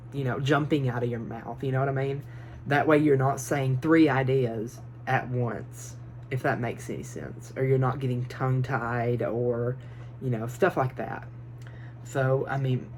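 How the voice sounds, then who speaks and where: A man giving advice in a casual phone vlog from his bedroom, talking nervously and quickly, rambling with lots of fillers and restarts. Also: medium pitch, slight background noise. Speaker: vlogger. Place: small bedroom. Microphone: phone built-in mic, no microphone.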